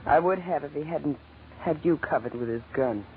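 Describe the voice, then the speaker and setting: Actress doing a scared but gutsy young gal in an old radio thriller, earnest, tinny hissy sound. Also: female voice, deep voice, moderate pace, lightly acted. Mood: earnest, uneasy. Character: frightened but defiant young woman on the run. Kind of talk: old-time radio crime drama acting. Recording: tinny, noisy vintage radio recording.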